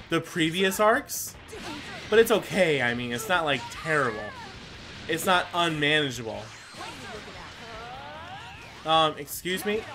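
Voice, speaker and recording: A man hosting a gameplay video with casual, rambling commentary, full of exclamations and mild swearing. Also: sits high. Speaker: gaming video host.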